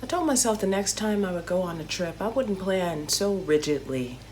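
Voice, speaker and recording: A woman from Chicago, a comedian, narrating a travel story in a chatty, animated storytelling way with playful asides. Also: medium pitch, slight background noise, rough recording. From Chicago, United States.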